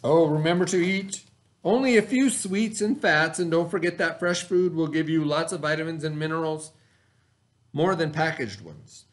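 A middle-aged American man, an elementary teacher, reading a lesson aloud to children in an expressive, instructional manner. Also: on the high side. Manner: expressive, instructional, pauses to ask the listener questions. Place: small indoor classroom, at a desk.

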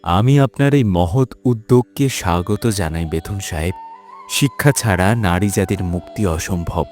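A low-pitched, dignified male scholar acted by a male narrator speaks in formal, earnest cartoon dialogue. He is measured and resolute, with music underneath. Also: a little mellow, natural voice.

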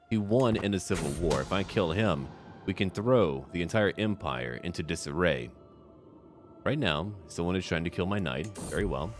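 A man giving roleplay commentary on a strategy game, musing theatrically in character like a schemer. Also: low-pitched. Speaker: gamer narrating a strategy game.

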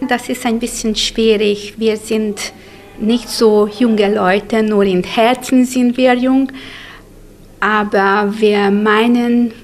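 A woman in her sixties interviewed indoors, speaking slowly and carefully with a few filler sounds. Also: fairly high pitch, fairly even intonation. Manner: slow, careful, some fillers. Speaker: choir member. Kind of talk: interview answers. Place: indoors in a room with bookshelves. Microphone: handheld reporter mic.

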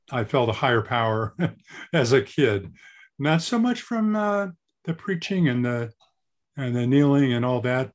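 Low-voiced reflective male musician. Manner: reflective, hesitant. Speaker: musician guest. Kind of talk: casual interview chat.

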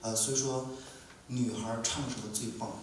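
A man's voice: medium pitch, little pitch movement, slight background noise. Man explaining in an echoey hall. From Inner Mongolia. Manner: measured explanation with many fillers. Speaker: visiting musician. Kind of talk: explaining to an audience. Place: lecture room with an audience. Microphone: mic held, heard from a distance. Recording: echoey room, distant mic.

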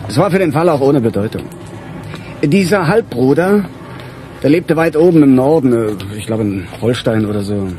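An actor playing a weary middle-aged police chief in a radio crime drama, with a dry, musing, sardonic manner. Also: male voice, medium pitch, lively intonation, moderate pace, mellow, slight background noise, natural voice.

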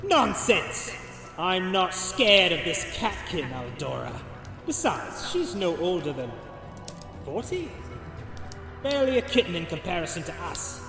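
A man's voice: sits high, big pitch swings, measured pace, gravelly, full character voice. A man playing a smug, suave, theatrical vampire schemer in a visual novel. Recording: echoey long reverb, music behind.

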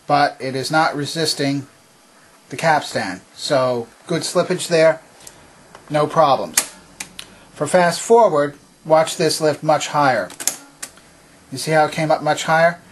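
A man presenting a hands-on demonstration in a methodical, explanatory way, with a slightly husky voice. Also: on the high side, a little hiss or hum. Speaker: presenter demonstrating vintage tape recorder. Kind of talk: instructional demonstration narration. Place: indoor home workbench.

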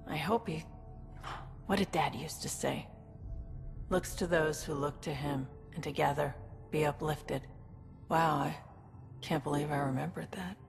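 An actress playing a laid-back, wry young alien crewmate in a video game, speaking casually and reflectively. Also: female voice, sits low, fairly even intonation, measured pace, creaky, pauses often. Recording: long reverb, faint music behind.

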